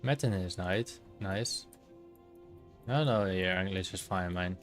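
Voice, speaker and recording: A man in his thirties with a Dutch accent chats casually to his stream viewers in a deep, low voice. He talks in halting bursts, full of fillers and restarts as he thinks aloud. Quiet game music plays behind him. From Netherlands. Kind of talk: casual livestream commentary while gaming.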